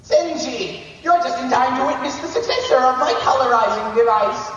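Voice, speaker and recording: A woman's voice: high-pitched, animated, moderate pace, a bit bright, far from the mic, highs cut off. A woman playing a gloating, theatrical villain in a comedy radio play. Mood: gloating, triumphant. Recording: clip replayed in echoey hall, music.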